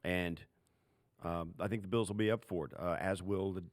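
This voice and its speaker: A man's voice: low voice, steady tone, moderate pace, no echo. A man co-hosting a sports podcast, giving conversational football commentary in a colloquial way with emphatic repetition. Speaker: sports podcast co-host, analyst.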